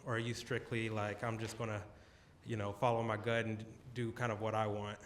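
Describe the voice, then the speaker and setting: A man in the audience asking the artist a question, halting and searching for words with many "you know"s. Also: on the low side, flat delivery, a little hiss or hum. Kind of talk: audience question at a gallery talk.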